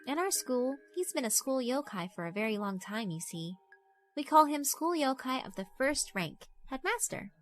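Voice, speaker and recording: A woman's voice: high-pitched, sing-song, normal speed, soft background music, voice pushed up. A woman voicing a mischievous, predatory girl spirit in a horror visual novel, teasing and politely condescending. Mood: mischievous, knowing. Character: mischievous, predatory yokai schoolgirl, doll-like, elegant.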